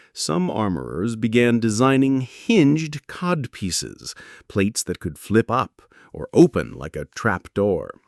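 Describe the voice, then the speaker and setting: A man narrating scripted history in a measured storytelling way, with dramatic pauses and wry asides. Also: mid-range voice, creaky, no echo, studio quality.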